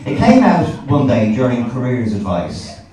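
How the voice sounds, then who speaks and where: A man's voice: mid-range voice, wildly swooping pitch, normal speed, warm tone. A man in his forties with an Irish accent performing a sweary, wry stand-up routine to a live audience, telling stories with acted-out dialogue. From Ireland. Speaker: stand-up comedian. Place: indoor comedy venue before a live audience. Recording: echoey live venue, distant, noisy.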